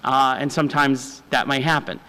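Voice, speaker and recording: A man with a naturally loud voice speaks as a city department official. He explains a proposal to the council and repeats his points. His voice carries a long reverb from the council hall. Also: mid-range voice, flat delivery, moderate pace. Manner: explanatory, repetitive. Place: council chamber.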